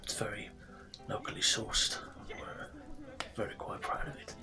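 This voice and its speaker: A man playing a friendly, easygoing tavern barkeep in a soft-spoken, chatty fantasy ASMR roleplay. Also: measured pace, lightly acted. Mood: welcoming, easygoing. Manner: informal, chatty, reassuring. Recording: soft background music and tavern ambience. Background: music, tavern ambience.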